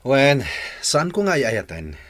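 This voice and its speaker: An actor with a Filipino accent playing a young playboy in a radio drama, torn and evasive about love. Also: male voice, medium pitch, very animated, slow pace, a bit bright, full character voice. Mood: uncertain.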